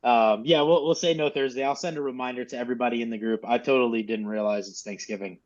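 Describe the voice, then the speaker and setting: Man, group coach, casual teaching, informal and salty, mid pitch, dull video call sound. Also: fairly even intonation, fast talker, a little mellow. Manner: informal, mildly profane. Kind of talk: casual instructional talk.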